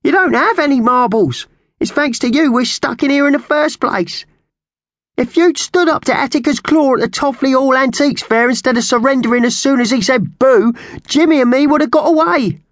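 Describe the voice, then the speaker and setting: A man's voice: lively intonation, normal speed, vocal fry, hardly any pauses, fairly bright tone, no room sound, clean studio sound, exaggerated voice. A man voicing a thin, hot-tempered magpie crook in a storybook, squawky and scolding. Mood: angry scolding. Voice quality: squawky, shrill. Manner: scolding, indignant.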